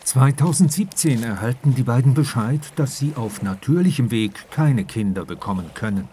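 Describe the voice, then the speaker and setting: A man reading scripted documentary narration in a calm, even way. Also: mid-range voice, normal speed, a little hiss or hum.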